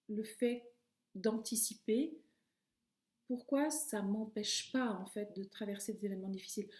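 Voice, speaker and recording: A middle-aged woman talking calmly and reflectively to camera, her phrases often trailing off softly. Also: medium pitch. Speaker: video host. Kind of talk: personal reflective monologue. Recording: echoey room.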